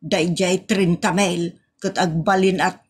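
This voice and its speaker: A woman's voice: lowish voice, steady tone, slow pace, husky. A woman with an Ilocano accent acting a sassy, easily scandalised village woman in a comedy audio drama. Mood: questioning.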